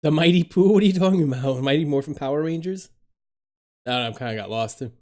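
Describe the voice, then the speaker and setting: A man's voice: on the high side, quick pace, dark tone. A man from the Dominican Republic live streaming from home. He rambles to his chat, laughs a lot and swears casually.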